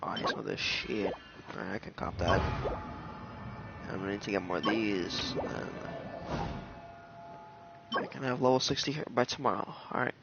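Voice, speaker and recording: A man's voice: fairly low pitch, normal speed, gravelly, pauses often, muffled recording, loud music behind the voice. A man giving casual, slangy, profane gameplay reactions as he streams a video game.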